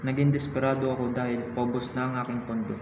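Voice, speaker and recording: An earnest young hero recounts his life in a radio drama acted by a man with a Filipino accent. His delivery is very even, and the sound is noisy and echoes like a big hall. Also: mid-range voice, fast, lightly acted. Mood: earnest, solemn. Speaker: student actor in a radio drama. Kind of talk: scripted first-person narration in a student radio drama.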